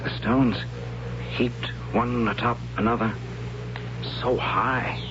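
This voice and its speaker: A man's voice: mid-range voice, steady tone, slow, natural voice. Man acting an earnest, awed young tribesman, old radio sound. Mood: wondering. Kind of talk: 1970s radio drama acting. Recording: dull, noisy old radio broadcast with hum.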